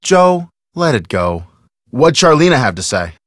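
A man's voice: mid-range voice, big pitch swings, unhurried, no echo, studio quality. An actor playing a level-headed teenage boy detective in a video game, reasonable and skeptical, with an American accent. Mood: matter-of-fact, chiding. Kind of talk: video game character acting.

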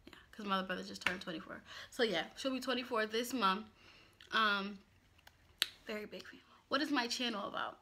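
A woman's voice: mid-range voice, fairly quick, noisy background. A woman in her twenties from Pennsylvania, chatting candidly to camera in a casual vlog from a small home office. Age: 20s. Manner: chatty, candid, expressive hand gestures. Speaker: YouTuber.

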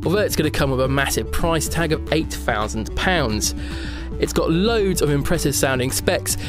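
A man presenting a gadget rundown as a scripted, upbeat voice-over. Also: a little high. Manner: upbeat, enthusiastic product rundown. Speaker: tech show presenter. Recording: voice-over with music behind.

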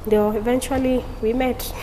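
A young woman, giving a halting personal testimony as an interview guest in a studio, talking through a lapel mic. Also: a little high, flat delivery, big-room sound, heavy background noise. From Tanzania. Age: young adult. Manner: halting, with frequent restarts. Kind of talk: personal testimony, mixing Swahili and English. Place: indoor studio.

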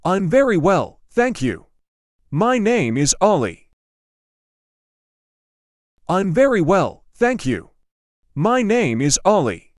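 A man's voice: sits high, sing-song, slow pace, pauses often, no echo, studio quality. A man reading a simple English dialogue for learners, carefully enunciated and repeating each line. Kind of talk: slow scripted dialogue reading.

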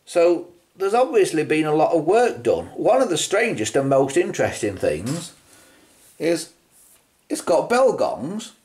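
A man's voice: a little high, huge pitch swings, a little slow, darkish tone. A British man giving an unscripted hands-on show-and-tell, musing as he goes and posing rhetorical questions. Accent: British English. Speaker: presenter of a vintage telephone video.

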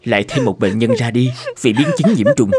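A man voicing troubled, thoughtful doctors and professors in a cartoon drama, formal and measured. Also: mid-range voice, darkish tone, breathy edge, close to an everyday voice. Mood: saddened. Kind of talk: animated cartoon drama dubbing.

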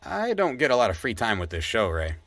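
A man's voice: on the low side, wildly swooping pitch, a little fast, a bit bright, no echo, heavily acted. An actor playing a wry, exasperated male podcast partner, grumbling in naturalistic audio drama dialogue.

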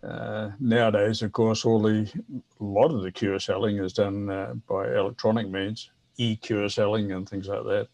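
Man, seventies, New Zealand accent, casual explanatory chat, halting delivery, video call from a home office. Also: fairly low pitch, little pitch movement, darkish tone. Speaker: guest, veteran amateur radio operator.

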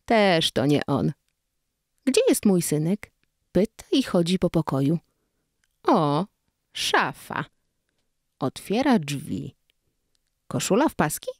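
A woman's voice: low-pitched, big pitch swings, slow pace, long pauses, no echo, clean studio sound. A woman narrating a children's story expressively, acting out the dialogue.

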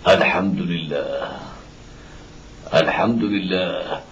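Low-voiced, sorrowful blind father. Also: takes it slowly. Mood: gentle, devout. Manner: sorrowful, pleading, gentle. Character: devout, tender blind widowed father of a little girl. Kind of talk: dubbed cartoon acting in formal Arabic. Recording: muffled old cartoon soundtrack with background noise.

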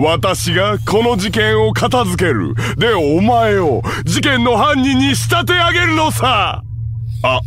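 High, hammy pompous superhero villain. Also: male voice, exaggerated voice. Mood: scheming. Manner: theatrical, grandiose, drawn-out delivery. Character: pompous, scheming male superhero alien, hammy and boastful. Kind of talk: video game cutscene voice acting. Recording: loud music behind the voice.

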